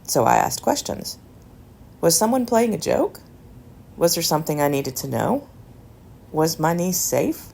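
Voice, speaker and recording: A woman narrates a personal essay with deliberate, measured phrasing. Her voice sits a little low and carries a noticeable fry, while her pitch moves expressively through the reflective lines. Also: sing-song, a little mellow. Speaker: essayist reading own work.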